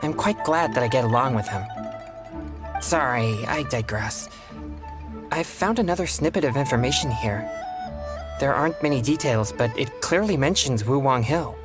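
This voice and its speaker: A man voicing an earnest, polite teenage boy exorcist in a video game, with formal, measured phrasing. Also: medium pitch, lively intonation, over music, close to an everyday voice. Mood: warm, appreciative.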